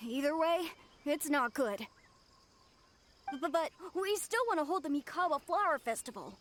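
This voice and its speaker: A woman's voice: high voice, animated, normal speed, fairly bright tone, a little hiss or hum. A woman voicing a small woodland spirit creature in an anime video game, polite, earnest and slightly hesitant. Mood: hesitant, worried. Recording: faint music behind the voice.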